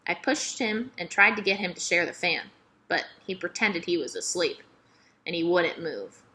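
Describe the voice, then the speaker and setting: A woman narrating a children's novel aloud in an expressive, emphatic storytelling manner. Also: on the low side, moderate pace.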